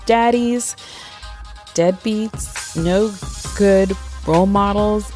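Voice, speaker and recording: A woman hosting a podcast, reading her intro in a sassy, playful manner. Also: a little high. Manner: sassy, playful, lively delivery. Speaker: podcast host. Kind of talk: upbeat scripted podcast intro.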